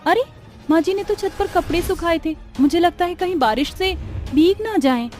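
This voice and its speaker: High-pitched innocent young wife played by a woman. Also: moderate pace, a little mellow, faint music behind. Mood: concerned. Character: sweet, bewildered young pregnant daughter-in-law. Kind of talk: cartoon story character voice.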